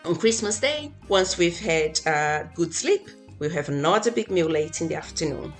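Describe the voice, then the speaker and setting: A woman's voice: lowish voice, expressive, moderate pace, faint music behind. A woman from Brazil, a native Portuguese speaker, reading her part as a guest in a scripted podcast conversation.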